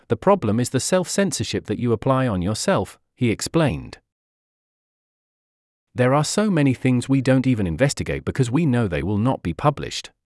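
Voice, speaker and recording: A man reading a news article aloud in an even, measured way. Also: medium pitch, dry recording, clean studio sound. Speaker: article narrator.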